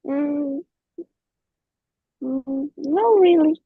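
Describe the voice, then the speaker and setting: A woman with Spanish as her first language, an English student giving halting, simple answers on a tinny online class call. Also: high voice, no room sound, faint music behind.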